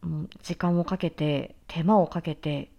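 Low-voiced, earnest woman speaking deliberately. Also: fairly even intonation, creaky, warm tone, muffled recording. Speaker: voice actor introducing a production. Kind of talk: reflective promotional talk.

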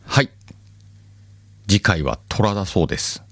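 Rich: A male podcast host with a very low voice that still moves lively up and down, chatting casually. He is recorded dry and close. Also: a little slow, dry recording. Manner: relaxed, chuckling asides. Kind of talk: casual chat.

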